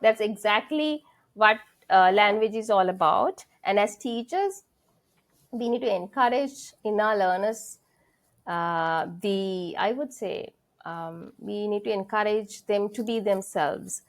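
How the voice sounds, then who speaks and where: A Sri Lankan-accented woman in middle age speaks as a guest lecturer, earnest and reflective. She pauses often to gather her thoughts, restarting phrases mid-stream. Her pitch and delivery sit at an ordinary middle level. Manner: earnest, thinking aloud with frequent fillers and restarts. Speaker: senior English lecturer, guest. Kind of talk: conversational interview.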